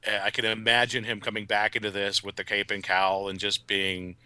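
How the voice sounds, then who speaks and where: A man's voice: on the low side, monotone, a little fast, sharp tone, clean tone, dry recording. A man talking in a casual review chat, with a wry, conversational manner. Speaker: podcast guest reviewer.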